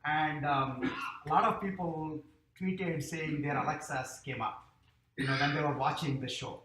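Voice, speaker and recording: A man's voice: a little high, steady tone, a little slow, fairly bright tone. A man with an Indian accent giving a conversational public lecture, full of "you know" and "um" fillers. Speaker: invited lecturer. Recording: echoey hall with faint noise.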